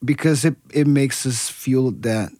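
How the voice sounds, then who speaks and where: A man's voice: medium pitch, steady tone, unhurried, dark tone, dry recording, studio quality. A man from Brazil, a musician, talking casually in an interview with many restarts and fillers. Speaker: musician guest.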